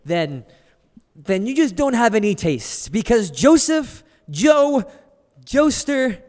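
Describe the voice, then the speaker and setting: A young man hosts a casual podcast chat in a high, light voice, playful and upbeat. His voice is slightly raspy from a sore throat, and a long reverb trails behind him in the room. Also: sing-song, takes it slowly, a little mellow. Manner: playful, upbeat host patter. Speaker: podcast host.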